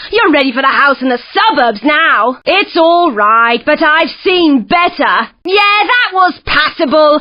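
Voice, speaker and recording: Young London punk girl, high-pitched, teasing, blunt, couldn't-care-less delivery, video game character, muffled lo-fi sound. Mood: dismissive, sardonic. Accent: London English. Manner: sardonic, offhand.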